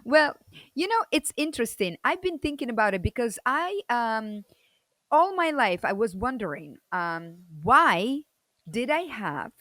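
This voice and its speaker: A woman from the former Soviet Union, telling her personal story as a guest in a loud, energetic and expressive way. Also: a little high, unhurried, dry recording.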